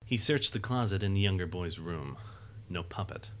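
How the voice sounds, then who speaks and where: A man's voice: deep voice, moderate pace. A man narrating a scripted audio drama as a third-person storyteller. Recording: faint background noise.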